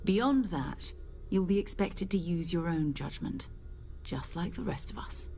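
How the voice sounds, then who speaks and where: Casting: composed, encouraging expedition leader for a space game, lower pitch, lively intonation, faint music behind. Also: female voice, measured pace. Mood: assured, welcoming. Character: confident, focused woman leading space explorers. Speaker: leader of an explorers' group. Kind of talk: video game character dialogue.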